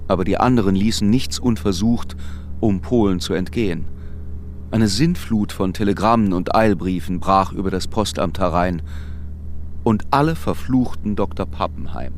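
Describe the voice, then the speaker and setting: An actor as the narrator of a German radio play, a measured, sombre storyteller reading literary prose. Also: male voice, deep voice, a little hiss or hum. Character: measured, sombre storyteller of a besieged resort town.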